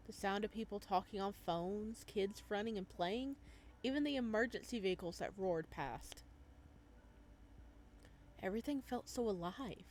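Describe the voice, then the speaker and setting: A woman hosting a gaming video, chatting casually and reading game text aloud, moving between calm narration and excitable, playful reactions. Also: medium pitch, a little hiss or hum.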